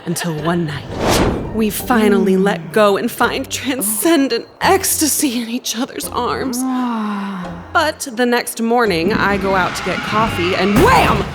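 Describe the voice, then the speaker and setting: A woman's voice: mid-range voice, expressive, a little slow, loud music behind the voice, natural voice. A woman playing a sardonic, vengeful queer woman from fiction come to life in an audio play, with a theatrical delivery. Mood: mock dramatic. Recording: clear background noise.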